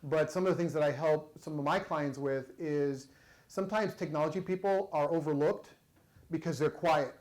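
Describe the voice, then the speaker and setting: A man's voice: fairly high pitch, normal speed, darkish tone. A man giving a conversational workshop talk to an audience, chatty and repeating words as he goes. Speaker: presenter.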